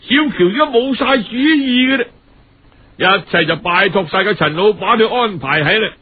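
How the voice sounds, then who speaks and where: Male storyteller, Cantonese accent, high husky voice, dramatic colloquial narration, dull lo-fi recording. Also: animated. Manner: dramatic, colloquial, with drawn-out phrase-end particles. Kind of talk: traditional radio storytelling, audiobook narration.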